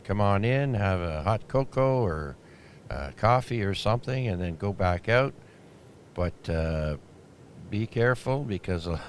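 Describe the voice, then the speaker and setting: A man acting as net controller on an amateur radio net, talking in a relaxed, halting way with many fillers. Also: fairly low pitch. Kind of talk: ham radio net chatter. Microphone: radio transceiver mic. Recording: noisy shortwave radio audio.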